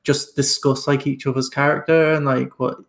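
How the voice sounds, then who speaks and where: Gravelly guy, flat monotone, tabletop player chatting. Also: mid-range voice, normal speed. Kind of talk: casual table talk.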